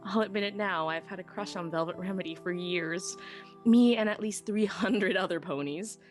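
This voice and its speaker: Female actor as young pony technician narrating an audiobook, self-deprecating and expressive, mid-range, light music underneath. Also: mid-range voice, moderate pace, close to an everyday voice. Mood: smitten, wistful. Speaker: first-person narrator. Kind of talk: dramatic live audiobook reading.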